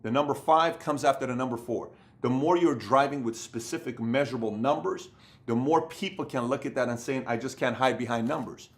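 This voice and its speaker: A man's voice: mid-range voice, brisk. Punchy motivational man coaching on camera. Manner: emphatic, repetitive, acts out other people's voices. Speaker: business coach. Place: indoor room with bookshelves.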